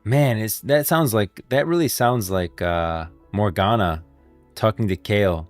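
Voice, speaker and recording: A man with a deep voice gives casual, emphatic commentary as a livestreamer. The sound is close and dry, with faint music behind him. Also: measured pace, no room sound. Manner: emphatic, repeats himself. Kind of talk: casual reaction commentary on game lore.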